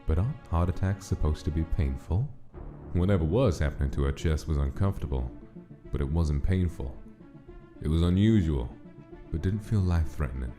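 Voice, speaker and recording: A man's voice: a bass voice, animated, brisk, dark tone, faint music behind. A man reading dramatic scripted story narration as the narrator of a comic dub.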